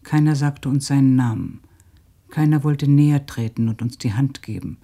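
A man's voice: mid-range voice, normal speed, gravelly, dark tone. A man reading a scripted literary radio narration soberly and precisely.

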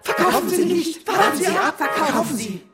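An actor playing an excitable, frantic stock exchange trader in a German radio drama, calling out in an agitated, urgent way. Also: male voice, very high voice, normal speed. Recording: music and crowd din behind. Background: music and exchange crowd noise.

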